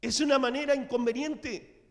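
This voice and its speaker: High-voiced pastor preaching in a reverberant church. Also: male voice, steady tone, normal speed. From Latin America. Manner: storytelling preacher, dramatic swings in loudness. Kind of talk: sermon. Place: church sanctuary.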